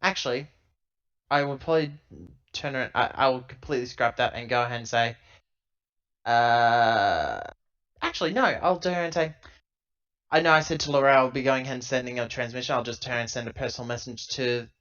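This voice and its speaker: A man playing a tabletop roleplaying game, improvising casually and thinking out loud in a rambling way, on a lo-fi laptop recording. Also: medium pitch, a little fast.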